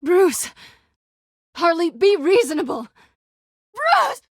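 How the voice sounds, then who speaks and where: An American woman playing a sly, guarded cat-burglar in a video game, teasing and coolly wry, with a husky, breathy voice. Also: extremely high pitch, wildly swooping pitch, slow, dry recording, clean studio sound, full character voice. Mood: urgent, alarmed.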